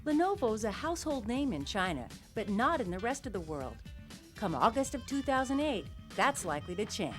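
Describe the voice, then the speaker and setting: Lively news narrator woman, higher voice. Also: a little fast. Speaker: news reporter. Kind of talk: scripted news voice-over. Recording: voice-over with faint music behind.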